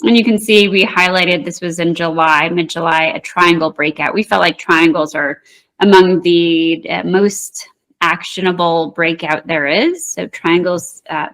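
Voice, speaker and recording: A woman market analyst, a guest in an interview, explaining price charts in a measured way with frequent ums and restarts. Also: on the low side, fairly bright tone, low-quality recording.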